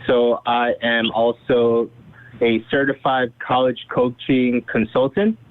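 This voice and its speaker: A young man presenting himself to a group on a conference call. He talks quickly and chattily and keeps checking in with "right?" and "okay?". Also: mid-range voice, steady tone, no echo. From Saudi Arabia. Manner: fast, chatty, uses "right?" and "okay?" often. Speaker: guest presenter. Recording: tinny phone line.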